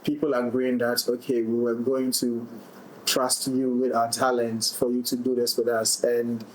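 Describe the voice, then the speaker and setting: A man's voice: mid-range voice, level tone, measured pace, slight background noise, poor audio. A man talking reflectively as a director in a casual roundtable, often repeating and rephrasing his phrases. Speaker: audio drama director.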